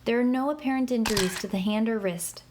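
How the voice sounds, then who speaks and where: A woman giving scripted instructional narration for an interactive kids' medical app, in an even, clear, measured way. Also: a little high, background noise.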